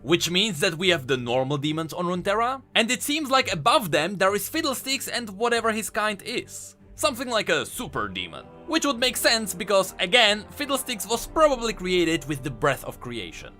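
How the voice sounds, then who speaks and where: A man's voice: high voice, very animated, moderate pace, hardly any pauses, bright, forceful tone, soft background music. A man hosting a scripted explainer, narrating lore in an emphatic, storytelling way. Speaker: video host and lore commentator.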